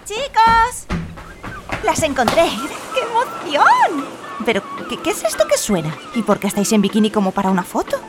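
A woman with a Spanish accent playing an excitable, chatty dreamer in a naturalistic comic radio fiction. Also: high-pitched, moderate pace, over loud music. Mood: excited. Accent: Spain. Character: Laura, excitable chatty woman who collects postcards and dreams of fame.

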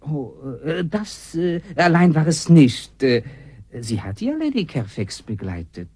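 An anxious, guarded waiter. His husky voice rises and falls sharply as he hesitates, flustered, in a radio play. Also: a little high, very animated, a little slow, a little mellow, exaggerated voice. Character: anxious, guarded French-speaking head waiter, young fiancé. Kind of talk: German radio drama acting.

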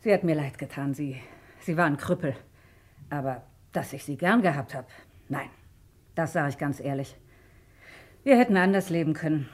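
An actress playing a bitter, accusing farm woman in a German radio crime drama, husky and sharp-tongued. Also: sits low, expressive, moderate pace, long pauses. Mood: bitter, frank. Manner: sharp-tongued, insistent, repeats herself.

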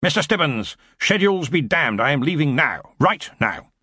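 A man's voice: big pitch swings, moderate pace, bright, forceful tone, husky, dry recording, full character voice. A man voicing an old, imperious university wizard in an audiobook, with a weighty, commanding manner. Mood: blustering, defiant. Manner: booming, imperious. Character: old blustering male wizard, head of a university.

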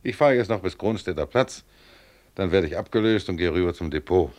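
A man's voice: low voice, expressive, a little fast, a little mellow. An actor playing a modest, contented tram driver of about forty in a German radio play, plain-spoken, chatty and proud of his work. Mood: chatty, hopeful. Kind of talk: German radio play acting, conversational monologue.

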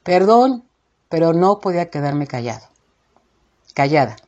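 Mexican woman, opinion host. Lowish, husky voice, emphatic and fed up, addressing the audience with pointed questions. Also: sing-song, measured pace, a little mellow, dull audio. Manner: indignant, emphatic, rhetorical questions. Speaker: show host. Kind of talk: informal opinion monologue to listeners.

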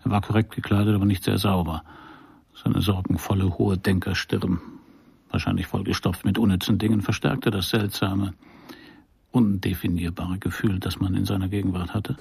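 Gravelly, low-voiced, world-weary detective. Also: male voice, little pitch movement, moderate pace, darkish tone, no echo, exaggerated voice. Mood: dry, observant. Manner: dry, sardonic. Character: wry, world-weary male private detective in 1950s Paris. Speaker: narrator and lead of a crime radio play. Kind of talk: first-person noir radio drama narration.

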